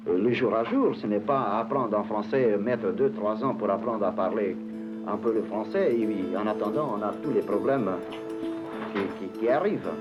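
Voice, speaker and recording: A man's voice: on the low side, normal speed, dull audio, loud music behind the voice. A man who teaches literacy, explaining his approach earnestly while searching for words.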